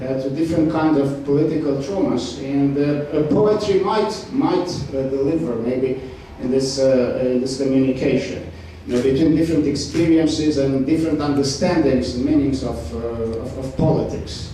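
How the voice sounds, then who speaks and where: A man speaking on a discussion panel, halting, with many fillers and restarts. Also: mid-range voice, warm tone. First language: Romanian. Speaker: panel participant. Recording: echoey hall, distant mic, faint noise.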